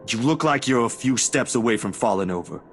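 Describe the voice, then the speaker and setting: A man's voice: mid-range voice, lively intonation, fairly quick, a little hiss or hum, lightly acted. An actor in a story game playing a simple, caring man who talks in a plain, polite, reassuring way. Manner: plain-spoken, reassuring, informal. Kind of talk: dramatic game dialogue.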